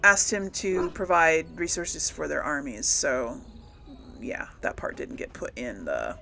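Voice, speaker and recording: Woman, gaming host, lowish voice, unhurried, lively intonation, casual, thinking aloud. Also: creaky, a little hiss or hum. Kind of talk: casual role-play gameplay commentary.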